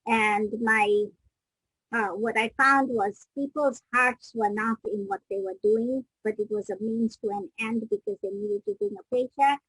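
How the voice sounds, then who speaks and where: A middle-aged woman from Kenya talks through her story as an interview guest, pausing and restarting her sentences as she reflects. Her voice sits fairly high and sounds husky. The recording is dry and close, with no room sound. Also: expressive, a bit bright, no room sound, rough recording. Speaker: guest, business coach.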